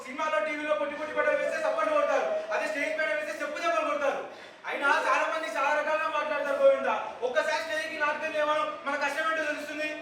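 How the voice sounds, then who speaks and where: An actor on stage playing an indignant village troupe member who answers back sharply. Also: male voice, very high voice, little pitch movement, very fast, pushed and bright. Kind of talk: live stage acting. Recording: echoey hall from far off, faint noise.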